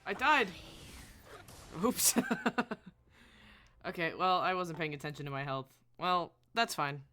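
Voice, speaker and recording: A woman's voice: low voice, animated, a little slow, bright, forceful tone, soft background music. A woman game streamer giving casual live commentary, muttering to herself and exclaiming at the game, tired from a long session.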